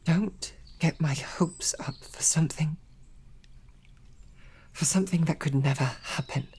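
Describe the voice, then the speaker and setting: A woman playing a snarky, devoted female knight, stammering and flustered, often dropping to soft whispers. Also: low voice, a little slow, husky. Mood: earnest. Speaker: audio drama performer. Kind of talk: romantic audio roleplay.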